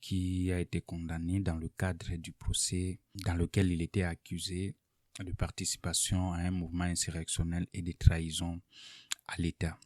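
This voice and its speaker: A man presenting political analysis in an unscripted, explanatory way, deliberately and with pauses mid-sentence. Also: low voice, monotone, no echo, studio quality. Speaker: political commentator presenting an online video.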